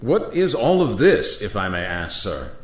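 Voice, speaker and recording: A man playing an earnest, formal statesman from centuries past in a radio play, with measured, oratorical delivery. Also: lowish voice, big pitch swings. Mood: indignant, questioning. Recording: roomy, echoing sound.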